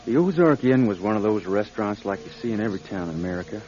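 Dull recording, loud music, man playing lonesome drifter, folksy storyteller, radio drama. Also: lowish voice, expressive, fast talker, lightly acted. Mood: weary, reflective. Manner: folksy, colloquial. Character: weary, lonesome drifting musician telling his own story. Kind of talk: radio drama acting and first-person narration.

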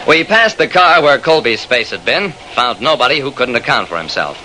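A railroad detective in an old radio mystery, narrating with clipped, emphatic delivery. The voice is piercingly bright and very lively in pitch, on a faintly noisy vintage recording. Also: male voice, normal speed. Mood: probing, brisk. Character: brisk, methodical male railroad detective narrating his case. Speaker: narrator and lead investigator.

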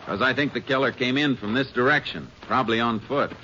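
An actor playing a calm, shrewd Texas Ranger in a 1950s radio drama, speaking in a terse, deliberate way. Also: male voice, medium pitch, close to an everyday voice. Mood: analytical. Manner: terse, deliberate, matter-of-fact. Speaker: lead actor and narrator. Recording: noisy, muffled 1950s radio recording.

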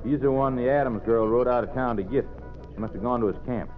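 A man's voice: mid-range voice, fast, soft background music, close to an everyday voice. An actor playing a sly, menacing, folksy Old West henchman with a Western drawl in an old radio drama. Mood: worried. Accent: American Western drawl. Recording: dull old radio recording.

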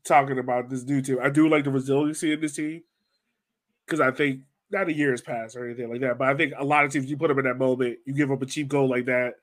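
A man hosting a sports podcast, talking in an informal, loosely phrased and slangy way. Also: mid-range voice. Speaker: podcast host. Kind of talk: casual soccer podcast discussion.

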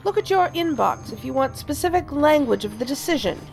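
A woman's voice: sits high, animated, a little fast, vocal fry, darkish tone, faint music behind. A woman playing a stern, cold, commanding deputy director in a science fiction audio drama. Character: stern, imperious female deputy director of a federal agency.

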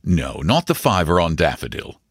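Man as hearty uncle, amused, indulgent, teasing, highly animated intonation, audiobook dialogue. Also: moderate pace, breathy edge, dry recording, studio quality, full character voice. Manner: jovial, teasing, questioning.

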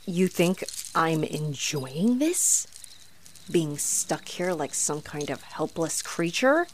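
A woman's voice: deep voice, big pitch swings, slow pace, slight background noise, heavily acted. A woman playing an aggravated, haughty, flirtatious female vampire in a scripted audio roleplay, with mocking, theatrical delivery. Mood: irritated, bargaining. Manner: mocking, sardonic, theatrical taunting.

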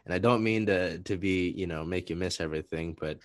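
A man's voice: sits low, brisk, no room sound. A man interviewing a soccer player, asking long, rambling questions with many restarts and fillers. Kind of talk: conversational podcast interview.

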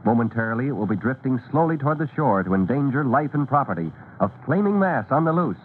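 A male narrator delivers dramatic, scripted storytelling in old-time radio style. His pitch is average for a man. The recording is narrow and tinny with clear background noise. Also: sing-song, fast talker.

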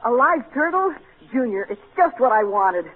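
A man's voice: extremely high pitch, very animated, normal speed. An actor playing a polite, earnest and precociously formal young boy in 1940s radio drama. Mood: delighted. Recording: narrow, hissy 1940s radio broadcast.

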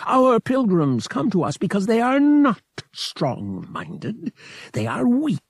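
Smug giant alien priest for audiobook, played by a male narrator: raised pitch, slow, booming, swooping lines. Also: wildly swooping pitch, gravelly, slightly rough, dry recording, studio quality, heavily acted. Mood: smug, amused. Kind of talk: audiobook character dialogue.

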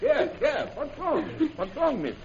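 A brisk, concerned jungle doctor with a gravelly voice that leaps wildly up and down. He barks urgent orders in old radio style, on a muffled recording. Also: very high voice, raised voice, a loud crowd, heavy background noise, exaggerated voice. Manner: urgent, animated, exclamatory. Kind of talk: old-time radio adventure acting.

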